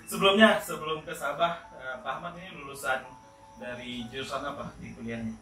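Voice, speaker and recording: Male interview host, casual and chatty, forceful bright tone, roomy sound with faint music behind. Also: medium pitch, fairly even intonation, brisk. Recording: roomy echo, distant mic, faint music.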